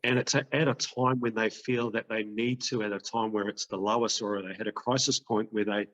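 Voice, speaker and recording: A man's voice: on the low side, steady tone, a little fast, a bit bright. A man co-hosting a podcast interview, speaking in a casual, enthusiastic and rambling way. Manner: enthusiastic, rambling, with many "you know" fillers. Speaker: podcast co-host.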